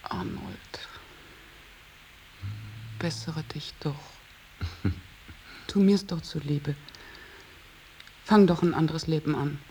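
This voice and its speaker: A woman's voice: low voice, slow pace, pauses often, dark tone, a little hiss or hum, rough recording. An actress playing an anxious, reproachful middle-aged mother in a German radio drama, pleading and scolding. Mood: worried, pleading. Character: anxious, reproachful mother of a wayward adult son.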